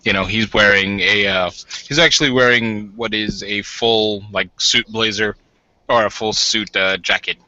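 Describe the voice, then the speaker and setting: A man narrates a tabletop game informally, feeling his way through phrases. His voice is fairly low yet very sharp and bright, recorded a little dully. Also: steady tone, dry recording. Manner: halting, informal. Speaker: game master. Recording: slightly dull home mic.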